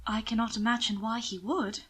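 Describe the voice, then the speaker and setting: Casting: woman reading a gentle, deferential young lord, anxious and pained, softly hesitant, for storybook narration. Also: fairly high pitch, fairly even intonation, brisk, slightly rough. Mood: doubtful. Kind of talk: audiobook character acting.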